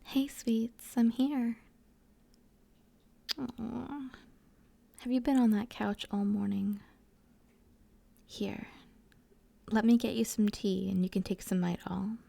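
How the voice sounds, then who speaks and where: Woman acting a slow, creaky, doting best friend. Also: fairly high pitch, fairly even intonation, mellow, no echo, full character voice. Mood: tender, doting. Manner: affectionate, teasing, rambling, slangy. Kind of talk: intimate audio roleplay, casual one-sided chat.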